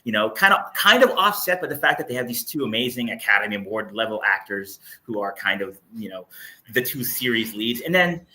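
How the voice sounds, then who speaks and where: A man's voice: on the low side, expressive, fairly quick, pushed and bright, rough recording. A man giving his opinions casually as a guest on a livestream panel. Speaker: panel guest, entertainment journalist.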